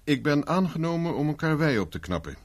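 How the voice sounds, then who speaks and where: An actor playing a persistent private investigator in a 1970s radio drama, speaking dry and insistent. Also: male voice, fairly high pitch, very animated, fairly quick. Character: dogged male private investigator, cool and persistent. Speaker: private detective Carver.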